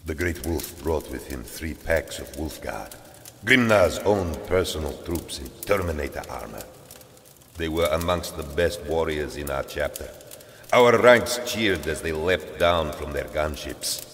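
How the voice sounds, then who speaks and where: An actor playing a grave, battle-worn space warrior, telling his tale in a measured, solemn dramatic style. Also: a bass voice, lively intonation, fairly bright tone, slight background noise, full character voice. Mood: proud. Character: brooding battle-worn Space Wolf warrior recounting a lost battle. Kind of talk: dramatic audio-drama storytelling. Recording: long reverb with music underneath.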